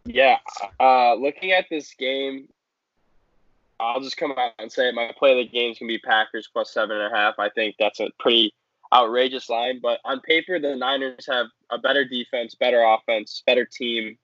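A man gives casual sports commentary as a podcast co-host. His voice sits at a middle pitch, recorded close and dry with slightly dull fidelity. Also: steady tone, normal speed, a bit bright, no room sound.

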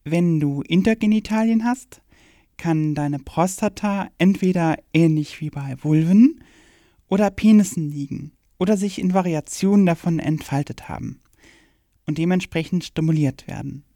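A woman's voice: lowish voice, moderate pace, dark tone, dry recording, studio quality. A woman reading a scripted educational radio play in a frank, matter-of-fact way. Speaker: performer in an audio play.

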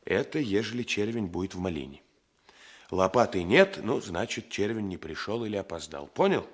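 An older, plain-spoken detective in a radio drama. His voice is on the low side, homely and blunt, with a fatherly, conspiratorial way of talking. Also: very animated, normal speed. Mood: conspiratorial, instructive. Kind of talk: radio play acting.

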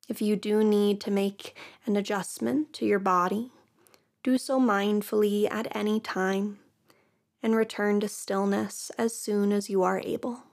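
Slow, gravelly woman guiding meditation. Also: medium pitch, fairly even intonation. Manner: slow, soft, soothing guidance with long pauses. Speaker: yoga nidra teacher. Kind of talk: guided meditation.

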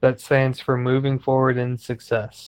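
A male podcast host whose voice comes across smooth and resonant. He talks in a friendly, informal way and leans on casual fillers as he chats. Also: medium pitch, little pitch movement, a little slow. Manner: friendly, informal, uses filler words like "like" and "you know".

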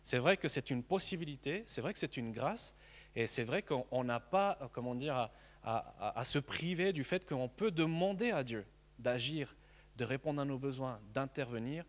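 A man in his thirties from Mexico, a native Spanish speaker, preaching with earnest conviction, often stumbling over and repeating small words. His voice sits at an ordinary middle pitch. The sound carries a long reverb, as in a large church hall. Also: expressive, moderate pace. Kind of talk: sermon.

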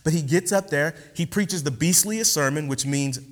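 A man's voice: a little high, moderate pace. Man preaching in an echoey hall. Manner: relaxed, slangy, animated teaching with many fillers. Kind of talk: casual sermon with opening prayer. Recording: long hall reverb.

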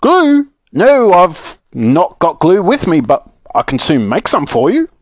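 A man voicing an excitable, muddled old man who mishears and gets easily vexed, in a children's story. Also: sits high, measured pace, dry recording, voice pushed up, heavily acted. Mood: cheerily muddled.

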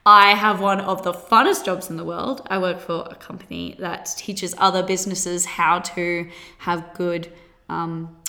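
A woman in her twenties with an Australian accent, hosting a solo podcast in a bubbly, chatty way with quick laughs. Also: fairly low pitch, fairly even intonation, sharp tone. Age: 20s. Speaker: podcast host.

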